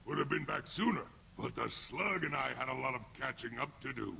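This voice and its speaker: A sneering alien thug from a video game, with a heavily growling, rasping voice and a sharp, hard edge. He talks in blunt, crude street slang like a hardened enforcer fresh out of prison. Faint noise sits behind him. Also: mid-range voice, animated, fairly quick, exaggerated voice. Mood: smug, gruff. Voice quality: gravelly, rough. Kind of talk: video game character acting.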